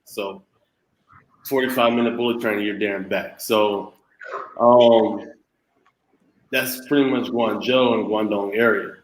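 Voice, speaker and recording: A man's voice: on the low side, fairly even intonation, measured pace, pauses often, a little hiss or hum. A man explaining things in a casual chat, in a hesitant, piecemeal way. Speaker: panel guest. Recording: echoey room.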